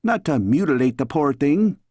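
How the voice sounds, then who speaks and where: A man's voice: on the high side, big pitch swings, normal speed, warm tone, no echo, clean studio sound. A man voicing a stout, motherly castle maid who scolds in exasperation with a Scottish accent, in a storybook reading. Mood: scolding. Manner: thundering, exasperated, fussing.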